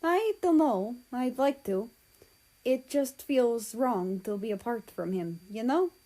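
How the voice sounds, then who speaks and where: A woman voicing a soft-hearted, hesitant man who loves his dog, in a story reading. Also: fairly high pitch, expressive, slow pace, rough recording. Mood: wistful.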